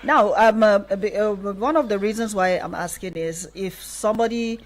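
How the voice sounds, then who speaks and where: A woman with a Nigerian accent presents on live radio. Her voice sits at a middling pitch, recorded on a studio microphone as she addresses guests and listeners. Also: normal speed, crowd noise, low-quality recording. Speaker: radio show host. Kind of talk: live radio hosting and interviewing.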